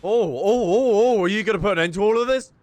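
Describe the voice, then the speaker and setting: A man giving live gameplay commentary as a streamer, excitable and often repeating his words in quick bursts. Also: sits high. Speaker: gaming streamer. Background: loud game music.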